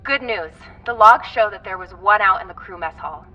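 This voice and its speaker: Female ship tech actress, game drama: practical, conversational, dryly apologetic, mid-pitched, muffled and a bit off-mic. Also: moderate pace, distant mic, natural voice. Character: practical, chatty female crew technician, a bit squeamish. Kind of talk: video game character acting.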